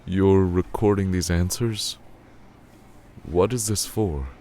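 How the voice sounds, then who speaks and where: An actor playing a reserved, polite, awkward male cafe regular in an audio drama, speaking haltingly. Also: low-pitched, dark tone. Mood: hesitant, guarded. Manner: hesitant, halting. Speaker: coffee shop regular.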